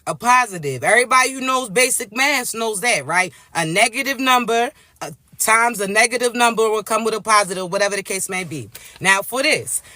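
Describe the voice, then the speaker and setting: Raspy guy w stuffy allergy voice, high and bright, preachy spiritual reading, keeps asking "right?". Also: animated, a little fast, no echo. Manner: emphatic, repeats "all right?" and "right?" tags. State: congested from allergies. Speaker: spiritual reader. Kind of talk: live spiritual reading, preachy direct address.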